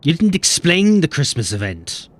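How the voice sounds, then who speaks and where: A man's voice: a little high, no echo. A man streaming a game, chatting casually and swearing as he bickers with chat. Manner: animated, swearing, quick banter with chat.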